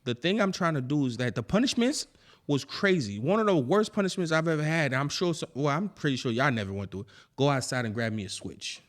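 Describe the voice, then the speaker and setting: Haitian-born man, animated podcast talk. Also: a little high, fast talker. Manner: animated storyteller, swears freely. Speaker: podcast co-host. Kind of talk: casual chat.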